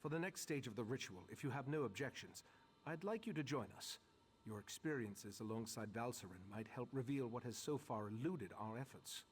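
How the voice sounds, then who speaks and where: Male mage master, acted by a man, mid-pitched voice, measured, formal, serious, authoritative, video game dialogue. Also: expressive, a little hiss or hum, natural voice. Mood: serious, instructing. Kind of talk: video game character acting.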